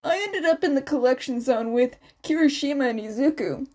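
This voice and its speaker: A woman's voice: high-pitched, animated, fairly quick, darkish tone. A woman voicing an eager, impressed teenage student who recounts things casually in an audio fan fiction reading.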